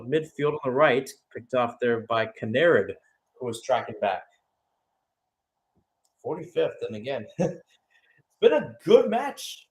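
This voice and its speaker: A man giving radio-style live play-by-play football commentary as the match commentator. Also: on the high side.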